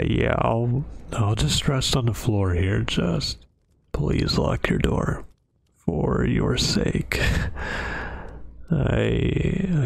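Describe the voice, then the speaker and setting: A man playing a brooding, remorseful werewolf who is a tender, hesitant lover, speaking softly and haltingly in an intimate roleplay ASMR monologue, husky and often near a whisper. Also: mid-range voice, exaggerated voice. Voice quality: gravelly, husky, breathy.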